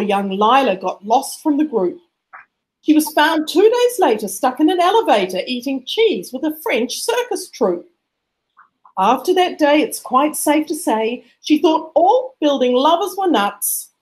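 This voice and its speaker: A woman with a high voice narrates a rhyming picture book for children. Her delivery is expressive and rhythmic, rising and falling in a lively sing-song. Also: rough recording. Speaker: storybook reader.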